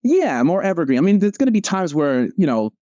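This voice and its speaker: A man, a comic strip creator, chatting casually as a guest, relaxed and filling his talk with "like" and "um". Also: high-pitched, brisk, no echo, dull audio. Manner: relaxed, frequent "like" and "um". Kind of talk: casual conversation.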